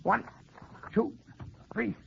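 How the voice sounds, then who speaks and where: An actor playing a brisk, take-charge young college athlete in a 1940s radio adventure. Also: male voice. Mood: determined. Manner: brisk, commanding. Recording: muffled, noisy old radio broadcast.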